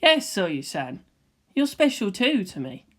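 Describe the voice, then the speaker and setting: A woman's voice: medium pitch, sing-song, slow. A woman voicing an earnest, shy, caring teenage boy in a fan fiction reading. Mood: earnest, tender.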